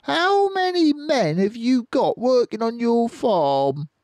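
A man's voice: very high-pitched, big pitch swings, no room sound, pitched above the performer's own voice, exaggerated voice. Bean, a man voicing a sneering cruel farmer. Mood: menacing. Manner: loud, sneering, drawn-out menace. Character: scheming thin male farmer, cruel and cunning. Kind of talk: storybook reading.

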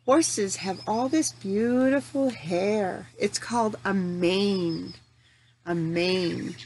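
Slow-paced woman reading to young children. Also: mid-range voice. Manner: simple, deliberate phrasing addressed to small children. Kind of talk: children's read-aloud with questions to young listeners. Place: home room in front of a large window. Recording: steady noticeable background noise.

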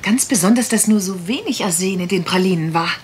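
A woman's voice: medium pitch, fairly quick, a little mellow, slight background noise, natural voice. A woman acting a shrewd, decisive, suspicious detective in a German radio crime drama, speaking with emphatic assurance.

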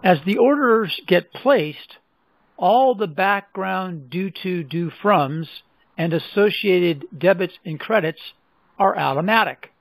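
A man presenting a software demonstration in scripted, explanatory narration with measured phrasing. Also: sits high, expressive, creaky, no room sound, rough recording. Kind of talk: scripted product demo narration.

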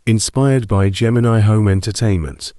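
A man's voice: fairly low pitch, moderate pace, very noisy. A man reading scripted horror narration in a stiff, formal manner.

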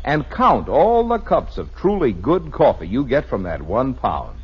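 An American man with a husky voice delivering a 1950s radio advertising pitch as the commercial announcer. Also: huge pitch swings, unhurried. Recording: muffled vintage radio broadcast.

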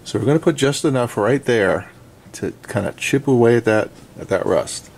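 A man giving a relaxed, chatty hands-on repair tutorial at his indoor workbench, talking to the camera while he works. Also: mid-range voice, moderate pace, vocal fry. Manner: relaxed, chatty, frequent "okay?" and "um". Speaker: vintage radio restorer.

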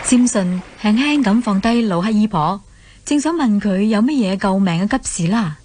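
A woman's voice: medium pitch, lively intonation, moderate pace, noisy background. A woman with a Hong Kong accent narrating a scripted mythological radio drama as a storyteller.

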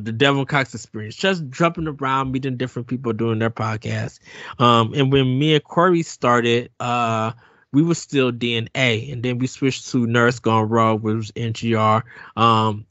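A man in his forties hosts a relaxed podcast chat in a middle-range voice, wandering through his thoughts with frequent ums and quick self-corrections. The sound is muffled and lo-fi, completely dry with no room around it. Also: medium pitch, no room sound. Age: 40s. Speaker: podcast host. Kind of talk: casual interview chat. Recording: dull lo-fi dry audio.

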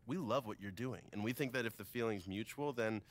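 An actor playing a polished, flattering American drinks executive in a video game cutscene, with chummy business talk. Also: male voice, lowish voice, brisk, close to an everyday voice. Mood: cordial, flattering. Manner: smooth, chummy business talk with small chuckles.

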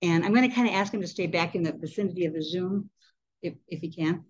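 A woman's voice: on the low side, quick pace, lo-fi sound, a little hiss or hum. A woman in her sixties, a club president holding a meeting, talking casually with a handheld mic, chatty with laughing asides. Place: indoor meeting room. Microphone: handheld mic, webcam from a distance.

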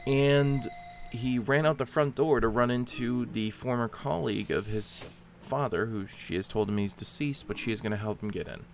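A man casually commentating a game as he plays, chatting and reacting aloud in a relaxed way. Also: medium pitch, normal speed, a little hiss or hum. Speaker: gameplay commentator. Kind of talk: casual let's-play commentary.

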